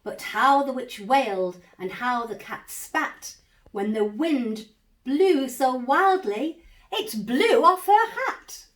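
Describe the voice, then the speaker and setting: A woman reading a bedtime story aloud to young children in an animated, measured, dramatic way. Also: high voice. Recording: roomy echo, distant microphone.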